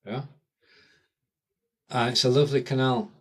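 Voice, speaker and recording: A man's voice: medium pitch, fairly even intonation, unhurried. Chatty Lancashire man hosting a live stream. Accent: Northern English, Lancashire. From Lancashire, England. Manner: chatty, thanks viewers by name, rambling asides. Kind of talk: casual live-stream chat. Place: home in rural England.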